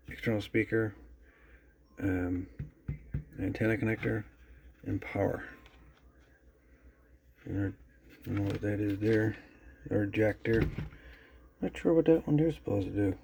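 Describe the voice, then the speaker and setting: A man giving a casual, unscripted show-and-tell as a video host, musing haltingly and trailing off as he goes. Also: lowish voice, gravelly, a little mellow, a little hiss or hum. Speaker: host of a radio repair channel.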